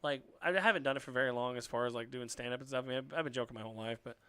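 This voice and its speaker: A man's voice: medium pitch, very fast, a bit bright, clear, resonant tone, dry recording. A man from Texas with a light Texas accent, a stand-up comedian in crude, jokey podcast chat who laughs often.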